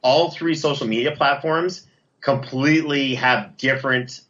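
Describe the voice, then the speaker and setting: A man's voice: medium pitch, measured pace, fairly bright tone, muffled recording. A man chatting casually as an interview guest, enthusiastic and effusive about what he talks about. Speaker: podcast producer and guest.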